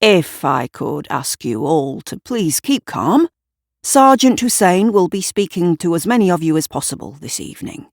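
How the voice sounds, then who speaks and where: A woman voicing a calm police detective in an audio drama, speaking formally and with authority to onlookers. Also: on the low side, moderate pace, creaky, no room sound, clean studio sound. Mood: official.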